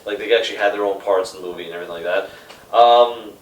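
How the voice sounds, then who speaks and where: Lowish-voiced guy rambling in an echoey room. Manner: halting, rambling, swearing fan enthusiasm. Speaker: co-host of a movie ranking show. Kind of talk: casual chat and debate among friends. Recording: echoey room, distant from the mic.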